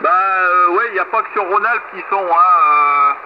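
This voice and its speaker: A man's voice: high-pitched, a little slow. A man chatting casually as an amateur radio operator, heard through shortwave radio. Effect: shortwave single-sideband radio. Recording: muffled, crackly shortwave radio reception.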